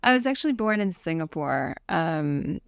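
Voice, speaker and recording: A middle-aged woman who grew up in Thailand talks through her answers slowly, with plenty of ums and restarts. Her voice sits a little low and sounds smooth and clear. The recording is dry and close. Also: very animated, dry recording. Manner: thoughtful, hesitant with many ums. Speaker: documentary filmmaker guest. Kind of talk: casual interview talk.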